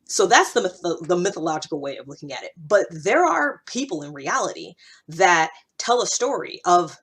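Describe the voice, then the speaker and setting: A woman's voice: lowish voice, slightly rough. A woman host talking through ideas in a casual, reflective monologue, conversational and emphatic, repeating phrases to drive them home. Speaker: host of a personal development podcast.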